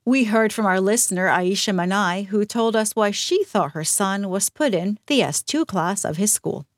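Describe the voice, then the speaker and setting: A woman co-hosting a news podcast, reading scripted links clearly and evenly. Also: mid-range voice, expressive, moderate pace, no room sound, studio quality.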